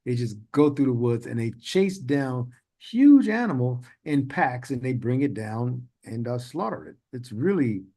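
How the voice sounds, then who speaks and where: Chatty guy host, wanders off on tangents, average pitch, casual talk. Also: sing-song, measured pace, hardly any pauses, dark tone. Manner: rambling, chatty storyteller who laughs often. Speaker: podcast host. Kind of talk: casual interview chat.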